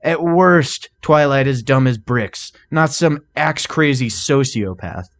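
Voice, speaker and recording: A man's voice: medium pitch, measured pace, dry recording, pitched above the performer's own voice, close to an everyday voice. A man voicing a cocky, impatient unicorn stallion thief from a cartoon fan story, brash and slangy. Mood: irritated. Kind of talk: cartoon fan fiction character voice.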